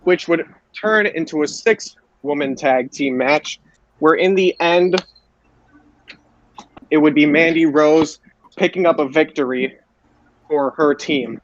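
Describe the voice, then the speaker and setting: A man with a slightly high voice hosts a casual sports recap. He talks haltingly and repeats his phrases. The sound is dull and lo-fi, like an online voice call. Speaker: podcast host. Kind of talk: casual wrestling show recap. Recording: roomy, dull lo-fi voice chat.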